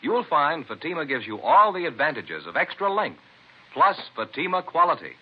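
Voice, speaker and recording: A man's voice: on the high side, sing-song, moderate pace, husky. A man performing as a grave, authoritative American announcer in the scripted style of 1950s radio. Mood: assured, selling. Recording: muffled vintage radio recording, faint music.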